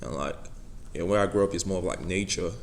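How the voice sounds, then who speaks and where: A young man with a Nigerian accent answering press conference questions in a soft-spoken way, filling pauses with "you know". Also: deep voice, creaky, slight background noise. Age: young adult. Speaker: college football player.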